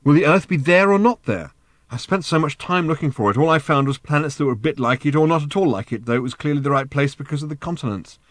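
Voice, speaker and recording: Fretful excitable Englishman, pitched well up and pushed loud, nagging and insistent, as a male narrator plays him, storybook reading. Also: fast talker, dry recording, studio quality, close to an everyday voice.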